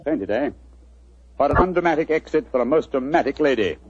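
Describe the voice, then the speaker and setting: An actor playing a self-assured English gentleman detective in 1940s radio drama style, clipped and commanding, with a British accent. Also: a little high, expressive, brisk, slightly rough. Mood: assured, explanatory. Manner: clipped, authoritative, explanatory. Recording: dull old 1940s radio recording.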